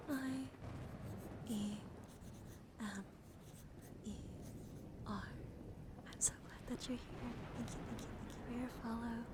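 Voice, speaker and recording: A woman live streamer doing ASMR, speaking softly and mostly in a gentle whisper to her viewers. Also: mid-range voice. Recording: clearly audible background noise.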